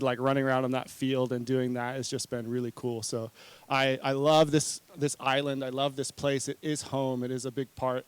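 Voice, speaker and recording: A man in his forties from New Zealand and Hawaii, speaking informally as a conference presenter in an earnest, conversational way, often halting and correcting himself. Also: mid-range voice, clean tone, dry recording. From New Zealand-born, raised in Hawaii. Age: 40s. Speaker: conference speaker, marketing consultant.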